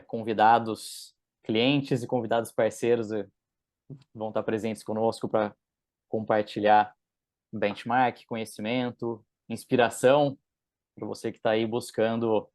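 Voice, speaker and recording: Brief: Brazilian man, lowish voice, casual webinar host, dry sound. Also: darkish tone, no room sound. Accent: Brazilian Portuguese. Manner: casual, many fillers and restarts. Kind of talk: webinar hosting. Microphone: video call.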